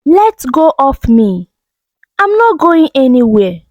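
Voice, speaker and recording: A woman voicing a loyal young woman in a story, earnest and expressive. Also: high voice, takes it slowly, no echo, clean studio sound, heavily acted. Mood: frightened, defiant. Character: gentle young woman, loyal, firm when threatened.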